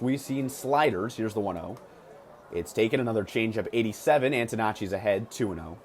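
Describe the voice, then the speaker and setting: A man doing live radio play-by-play of a baseball game as the announcer, with clipped, descriptive calls. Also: mid-range voice. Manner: clipped, descriptive calls that swell on big plays. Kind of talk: live sports commentary. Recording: clear ambient ballpark noise behind voice. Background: ballpark ambience.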